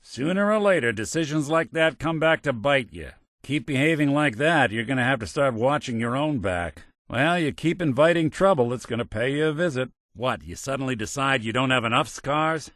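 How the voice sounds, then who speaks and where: An actor playing a world-weary, wisecracking robot detective in a video game, with an American accent and a wry, streetwise, hard-boiled noir delivery. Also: male voice, mid-range voice, expressive, normal speed, hardly any pauses, fairly bright tone, no room sound, clean studio sound, close to an everyday voice. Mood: wry, steady.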